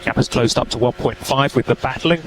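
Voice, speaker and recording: A man's voice: medium pitch, fairly quick. A man working as a race engineer, giving terse, matter-of-fact technical instructions and numbers over team radio. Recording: noisy team radio, engine and car noise.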